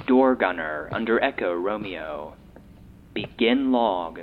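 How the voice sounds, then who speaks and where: A formal, impersonal log announcer in an audio drama. He speaks low and slowly through a phone-like band with faint noise. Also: expressive. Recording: tinny phone-like band with hiss.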